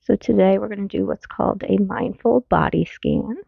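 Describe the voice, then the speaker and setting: Woman, meditation guide, soothing and gentle, strong gravelly creak, long pauses, phone-like narrow audio, very dry sound. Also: medium pitch, no echo. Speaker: mindfulness teacher. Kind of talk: guided meditation, body scan.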